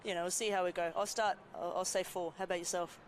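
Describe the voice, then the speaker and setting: A woman's voice: fairly low pitch, fairly even intonation, fast. A woman, a goalkeeper, giving chatty, self-deprecating match commentary with frequent laughter at an outdoor stadium. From Greek background.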